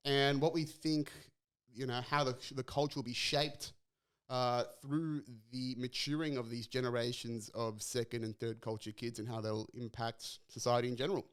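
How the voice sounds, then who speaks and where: A young Australian man hosting a casual podcast chat, relaxed and chatty, laughing easily. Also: mid-range voice, smooth. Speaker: podcast host.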